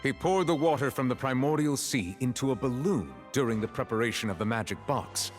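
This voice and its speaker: Casting: stern, composed game judge played by a man, mid-pitched, formal and authoritative, light music underneath. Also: a little fast, close to an everyday voice. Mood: calm, measured. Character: composed, stern male chief justice presiding in court. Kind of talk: video game voice acting.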